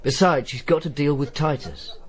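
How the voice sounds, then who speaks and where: An actor playing a wry, jealous, stubborn Roman informer who narrates his own story in a radio drama, deadpan and sardonic. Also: a little high, normal speed, breathy edge. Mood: stubborn, sulky. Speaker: first-person narrator and lead character.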